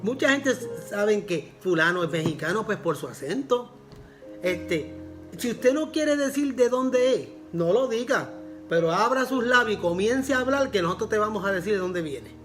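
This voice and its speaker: A man's voice: high voice, expressive, normal speed. Pastor, man with a Puerto Rican accent, earnest repeating sermon delivery, faint music bed. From Puerto Rico. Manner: repeats phrases for emphasis, earnest preaching.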